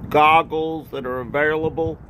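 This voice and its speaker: An older man from North Carolina talks outdoors on a porch into a phone at arm's length, rambling and halting with many fillers and repeated thank-yous. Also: a little high, fairly even intonation, a bit bright, a little hiss or hum, low-quality recording. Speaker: ski racer and video maker. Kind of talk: casual home video. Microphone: phone camera at arm's length.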